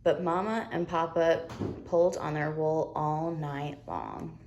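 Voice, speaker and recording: A woman reading a children's storybook aloud in an expressive narrating style. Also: low-pitched, slow pace, a little hiss or hum. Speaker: storybook reader.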